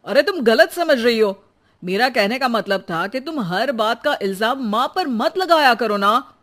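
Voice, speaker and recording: A woman's voice: a little high, expressive, a little slow, fairly bright tone. A woman voicing an exasperated young husband who speaks emphatically in a cartoon family story. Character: exasperated young husband defending his mother.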